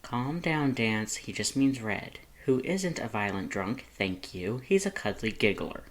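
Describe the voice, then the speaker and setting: A narrator voicing a small, affectionate, gently reassuring male skeleton in a fan fiction reading. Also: mid-range voice, very animated, unhurried. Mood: soothing, teasing.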